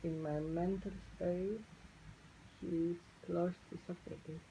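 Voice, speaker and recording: A man, giving brief, gentle replies in a casual chat. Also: sits high, steady tone, takes it slowly, vocal fry, slight background noise, rough recording.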